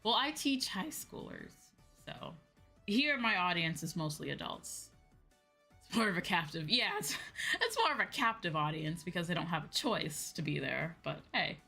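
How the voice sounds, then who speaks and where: A woman streamer chatting casually and answering viewers in an animated, conversational way, often repeating phrases for emphasis. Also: on the low side, normal speed, vocal fry, slightly rough. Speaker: VTuber streamer; high school teacher. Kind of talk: casual livestream chat, replying to viewers. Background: background music.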